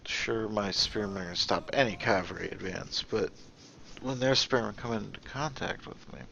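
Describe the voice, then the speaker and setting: A man giving casual gameplay commentary, thinking aloud in a halting, musing way and often trailing off mid-thought. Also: lowish voice, vocal fry, bright, forceful tone, muffled recording, slight background noise. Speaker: gaming video host.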